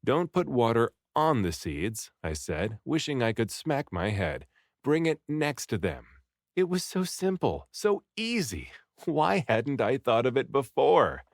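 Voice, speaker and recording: A man reading a story aloud in an expressive, dramatic first-person narration, acting out the hero's lines. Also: medium pitch, unhurried, no room sound, clean studio sound. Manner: animated, dramatic, acts out the first-person hero. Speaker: audiobook reader.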